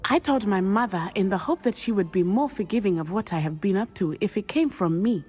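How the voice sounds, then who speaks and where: A woman voicing an earnest young female student who calmly tells how her father died, in a video game cutscene. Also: mid-range voice, brisk, breathy edge, soft background music. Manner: earnest, composed storytelling.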